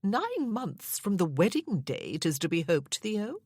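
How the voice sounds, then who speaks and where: A woman's voice: medium pitch, sing-song, measured pace, darkish tone, slightly rough, no echo, studio quality, voice pushed up. A woman voicing a placid, genteel elderly aunt speaking fondly. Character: placid fond elderly lady, gently teasing aunt.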